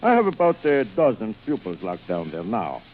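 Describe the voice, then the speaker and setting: An actor playing a suave, cruel aristocratic older general who hunts men, in urbane, theatrical 1940s radio thriller style with savored pauses. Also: male voice, lowish voice, wildly swooping pitch. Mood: coolly menacing. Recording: muffled, noisy vintage radio recording.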